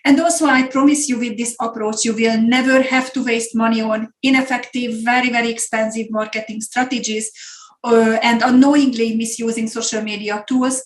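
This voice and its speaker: A middle-aged woman from Hungary with a Hungarian accent, presenting an online business masterclass in an earnest way and repeating words for emphasis. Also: on the high side. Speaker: business coach. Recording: echoey room.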